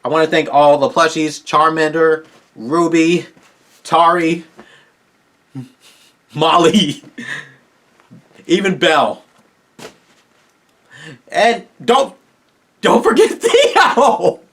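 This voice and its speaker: Tired YouTuber man with a higher voice. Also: big pitch swings, extremely slow, long pauses, a bit bright. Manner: rambling, eager fan talk with restarts. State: tired, strained voice. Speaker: YouTuber host. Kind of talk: casual Q&A wrap-up to viewers.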